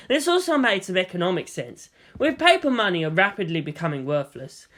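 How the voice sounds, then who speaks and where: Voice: medium pitch, fairly bright tone. A narrator reading a scripted fan fiction story in audiobook style.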